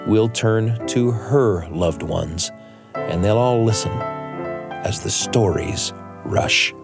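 A man hosting a radio show, telling personal stories and chatting in a studio. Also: fairly low pitch. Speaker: radio show host and storyteller. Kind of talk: personal storytelling and studio chat.

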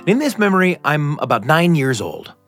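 A middle-aged man hosting and telling stories in an expressive, deliberate way with dramatic phrasing. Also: a little high, faint music behind. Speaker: host. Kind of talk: warm conversational radio storytelling. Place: radio studio.

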